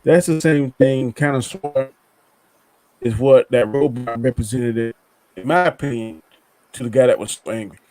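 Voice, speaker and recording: A man with a medium-pitched voice chats as a panelist on a review show. His talk is colloquial and loose, and he tells stories by acting out what characters say. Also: moderate pace, a little mellow, dry recording. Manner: colloquial, acts out characters' lines. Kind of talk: casual storytelling chat.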